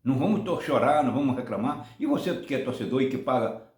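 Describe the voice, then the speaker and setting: Seventies man from Brazil, football vlogger, rambling restarts, mid pitch, reverberant room. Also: expressive, quick pace, darkish tone. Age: 70s. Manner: rambling, many restarts and tag "tá?". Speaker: football channel host. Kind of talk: casual rambling commentary.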